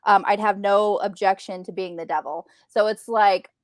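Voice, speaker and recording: An American woman with an average-pitched voice and a close, dry sound co-hosts a book chat. She explains things conversationally, slipping into quoted asides as she goes. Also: steady tone, normal speed, no echo. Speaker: book readalong co-host.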